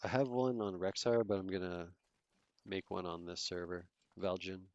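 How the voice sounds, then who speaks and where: Low-voiced Toronto guy streaming a game. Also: steady tone, moderate pace, darkish tone, no echo, highs cut off. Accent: Toronto Canadian. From Canada. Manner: laid-back, chatty, trails off mid-sentence. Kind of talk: casual chat while gaming, reading chat.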